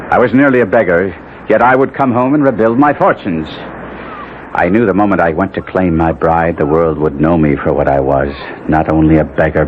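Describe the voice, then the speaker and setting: An actor playing an anguished, ruined young nobleman, speaking with earnest melodrama and formal phrasing in a radio drama. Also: a bass voice. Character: anguished young nobleman, ruined, proud wastrel recounting his fall. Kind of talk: 1970s radio drama acting and narration. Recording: muffled, noisy old radio broadcast recording.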